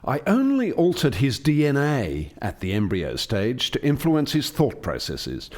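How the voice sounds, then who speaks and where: Radio play alien scientist, male actor: earnest, formal explainer, mid-pitched with very varied intonation. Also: wildly swooping pitch, measured pace, a little mellow. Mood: explaining. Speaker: lead scientist.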